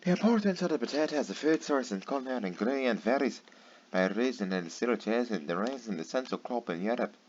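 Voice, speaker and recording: A man's voice: medium pitch, animated, a little fast. A tired man reading aloud late at night, stumbling over words and breaking off for sarcastic, swearing asides. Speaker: channel host. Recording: dull lo-fi with faint noise.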